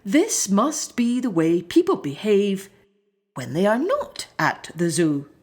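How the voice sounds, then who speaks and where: Woman playing a courteous, slow-spoken storybook lion. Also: mid-range voice, very animated, darkish tone. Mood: puzzled, musing. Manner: courteous, musing, measured. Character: polite, friendly, puzzled male lion. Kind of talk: children's storybook character voice.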